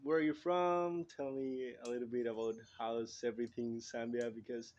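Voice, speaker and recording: A man's voice: medium pitch, little pitch movement, normal speed, a little mellow, highs cut off. Hesitant man. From Colombia. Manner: hesitant, searching for words. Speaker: interviewer. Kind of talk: casual interview.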